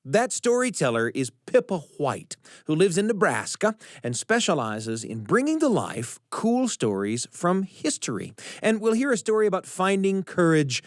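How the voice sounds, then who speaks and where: A man hosting a radio show, reading scripted introductions with deliberate, emphatic phrasing and dramatic pauses. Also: high voice, big pitch swings, no echo.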